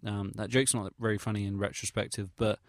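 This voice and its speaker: Low-voiced young English man, casual and rambling. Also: little pitch movement, brisk, dry recording, clean studio sound. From England. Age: young adult. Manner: rambling, hesitant, self-deprecating; informal, sweary, slangy. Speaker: vlogger. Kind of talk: casual retrospective audio log.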